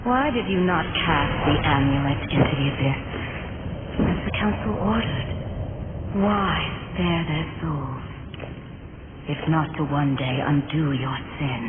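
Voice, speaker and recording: A woman's voice: low-pitched, a little slow, pauses often. Lilith, a cold regal demon queen. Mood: reproachful. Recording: lo-fi tinny audio with loud noise.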